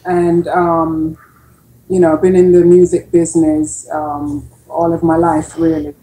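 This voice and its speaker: Female radio regular, very relaxed tone, slow casual delivery, recorded in an echoey space. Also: low-pitched, a little hiss or hum. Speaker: radio co-CEO and show regular. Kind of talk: casual self-introduction on talk show. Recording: echoey room on online call.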